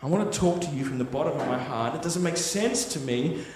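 A man's voice: fairly high pitch, fairly quick, background noise. A man preaching a sermon, telling a story in an earnest, conversational way with frequent tag questions. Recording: echoey hall with long reverb.

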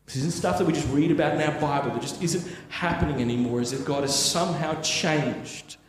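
A man's voice: a little high, fairly quick, a little hiss or hum. A man preaching a sermon, telling a story in an earnest, conversational way with frequent tag questions. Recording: echoey hall with long reverb.

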